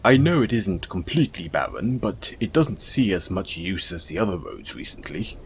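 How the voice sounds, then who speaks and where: A man playing a gentle, caring, self-conscious centaur in an intimate audio roleplay, speaking in a soothing, hesitant, apologetic way. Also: low-pitched, sing-song, normal speed, slight background noise. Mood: caring, soft-spoken.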